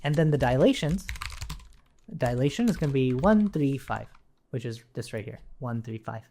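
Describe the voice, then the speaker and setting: A man's voice: mid-range voice, big pitch swings, normal speed, dark tone, slight background noise. Man casually talking through code as he types. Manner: informal, thinking aloud while typing. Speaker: programming tutorial instructor. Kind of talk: casual live coding walkthrough with explanations.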